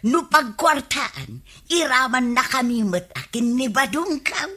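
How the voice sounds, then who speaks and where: A nosy, grasping Filipino village wife in radio comedy. Her voice is high and bright with a husky edge. She exclaims and complains in a bickering way. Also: very animated, measured pace, heavily acted. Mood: nosy, scheming.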